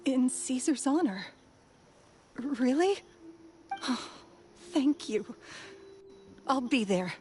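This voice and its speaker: A woman playing a gentle, emotional young woman in an anime video game, speaking in a halting, soft-hearted way. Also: high voice, animated, a little hiss or hum, exaggerated voice. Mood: touched.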